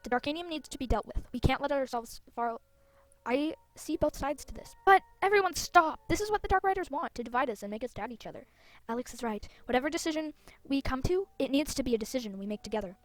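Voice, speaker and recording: Game role: determined young female rider, earnest, high-voiced, woman reading. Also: expressive, fast talker, no room sound. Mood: urgent.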